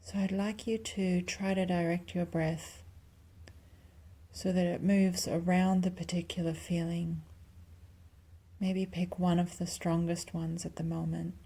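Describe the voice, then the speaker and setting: A woman leading a guided meditation softly and calmly, with long pauses and a breathy, near-whispered delivery. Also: on the low side. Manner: soft, calm, unhurried instruction with long pauses. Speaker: clinical psychologist guiding a meditation.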